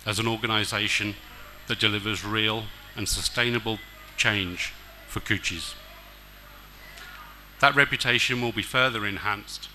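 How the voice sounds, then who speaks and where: A middle-aged man delivers a formal speech at a stand mic on a festive temple stage. His voice is fairly low yet very bright and forward. He reads in a measured, official way with the odd hesitation. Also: slight background noise, low-quality recording. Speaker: diplomat guest speaker. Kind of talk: formal prepared speech read aloud. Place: decorated outdoor stage at a temple event. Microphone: stand mic at a podium.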